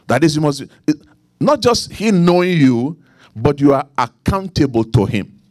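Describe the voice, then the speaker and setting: A middle-aged man with a Nigerian accent preaching a sermon, repeating key lines, leaning into emphatic points and then dropping low for quiet asides. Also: lively intonation, measured pace, no room sound, clean studio sound. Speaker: pastor.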